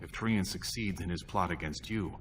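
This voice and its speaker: An actor playing a scheming younger dwarf prince in a fantasy game, speaking in a measured, insinuating way. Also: male voice, deep voice, slight background noise, natural voice. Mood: conspiratorial. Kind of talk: fantasy game cutscene acting. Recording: clear background music.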